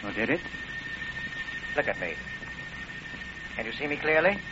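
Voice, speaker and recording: An actor playing a polite, coolly murderous doctor obsessed with research, speaking with genteel precision in an old radio drama. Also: male voice, on the high side, very animated, unhurried. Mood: coolly sinister. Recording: muffled, noisy old radio recording.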